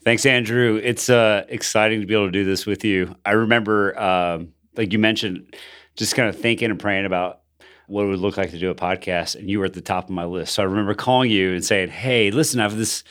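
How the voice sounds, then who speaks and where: Earnest low-voiced man in podcast conversation. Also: fairly even intonation, brisk, a bit bright. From Colombia, raised in Bogota by missionary parents. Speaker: pastor and podcast co-host.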